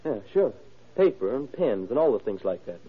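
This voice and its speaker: An actor playing a murdered man's young son, halting, plainspoken and slightly uneasy, in a 1940s radio drama. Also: mid-range voice, sing-song, husky. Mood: cooperative. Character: hesitant, cooperative young son of a murdered man. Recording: narrow, muffled old radio recording.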